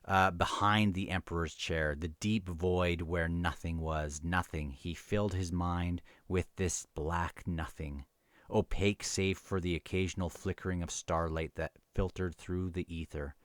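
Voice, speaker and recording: Man, low-pitched, casual book reviewer, halting read-aloud, repeats phrases, dry recording. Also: steady tone, no echo. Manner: halting reader, often stumbles and repeats phrases.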